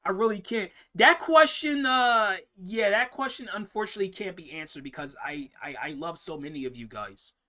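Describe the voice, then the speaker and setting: A man's voice: high voice, expressive, normal speed, sharp tone. A young man hosting a casual live-stream Q&A, answering viewer questions in a rambling way, often repeating words and restarting sentences.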